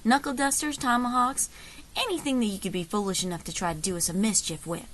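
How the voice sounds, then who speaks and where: A woman playing a confident, folksy frontier leader in an audio drama, wry and commanding, with calm menace. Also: mid-range voice, fast, close to an everyday voice. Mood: firm, unflustered.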